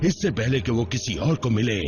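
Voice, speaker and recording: A man's voice: mid-range voice, animated, moderate pace, a little mellow, muffled recording, soft background music, close to an everyday voice. A man playing a commanding, scornful alien robot warlord in a dubbed cartoon, speaking with menace and contempt. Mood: grimly commanding. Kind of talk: dubbed cartoon villain acting.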